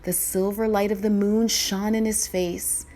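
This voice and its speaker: A woman reading a children's story aloud in expressive scripted narration. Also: medium pitch, a little slow.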